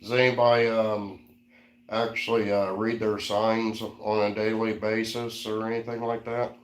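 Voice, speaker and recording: A man's voice: on the low side, level tone, measured pace, roomy sound. A man in his forties co-hosting a casual live-stream chat, easygoing and reading out viewer comments. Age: 40s. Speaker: co-host.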